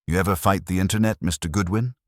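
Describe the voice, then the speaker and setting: A man's voice: sits low, fairly even intonation, fast talker, dry recording, studio quality, voice pushed up, lightly acted. A man voicing a wronged, defiant woman client who gives guarded, terse, wounded retorts in a detective audiobook. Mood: bitter, guarded.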